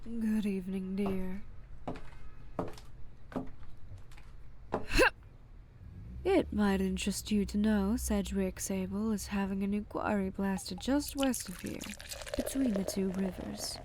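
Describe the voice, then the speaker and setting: A woman playing a genteel, wry speakeasy proprietress in a fan dub, speaking in a measured, coaxing way. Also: medium pitch, steady tone, noisy background, lightly acted. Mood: wry, musing. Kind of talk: fan dub dramatic voice acting.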